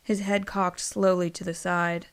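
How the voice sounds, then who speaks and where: A woman narrates a dramatized fantasy story from a script. Her voice sits on the low side for a woman. It has a gravelly, creaky edge. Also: little pitch movement, unhurried.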